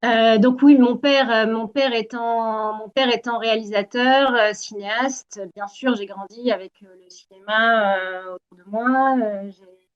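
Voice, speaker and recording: A woman's voice: on the high side, fairly even intonation, unhurried, clean tone, muffled recording. A woman in her forties from Algeria, a campaigner answering interview questions earnestly, with many hesitations and restarts. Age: 40s. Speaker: Greenpeace campaigner, guest.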